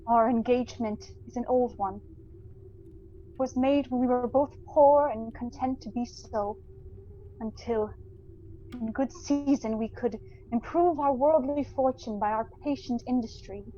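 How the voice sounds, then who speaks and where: An actress as a sorrowful young woman in a period radio play, with a fairly high voice. She speaks with gentle, earnest dignity in measured phrases, on a slightly dull recording with faint noise. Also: close to an everyday voice. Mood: sorrowful, firm. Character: sorrowful, dignified young fiancée releasing her beloved. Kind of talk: theatrical audio drama acting.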